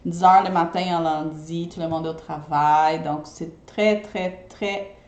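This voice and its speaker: A woman's voice: sits low, fairly even intonation, unhurried, a little mellow, echoey room, dull audio. A woman from Brazil whose first language is Portuguese, speaking French with a mixed Brazilian and Québécois accent. She chats casually to her viewers in a live video, often checking in with them by asking "ok?". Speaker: eco-friendly party decorator.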